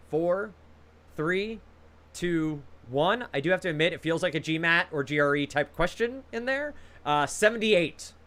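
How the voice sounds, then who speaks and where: A male quiz host with a bright, fairly high voice that moves around a lot as he reads questions. He chats casually between rounds and encourages the players over a video call.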